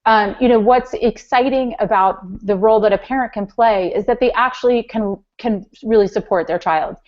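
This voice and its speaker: A woman's voice: a little high, moderate pace. A woman giving conversational expert advice as an interview guest on a conference call, explaining and restating her points. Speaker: guest, youth soccer parent educator. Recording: muffled, lo-fi conference call line.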